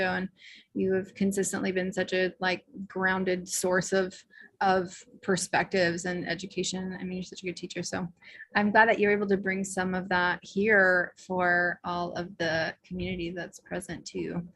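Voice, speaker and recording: A woman co-hosting an online panel while losing her voice, talking in a warm, effusive, rambling way on a video call mic. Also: mid-range voice. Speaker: event co-host. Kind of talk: casual online panel talk.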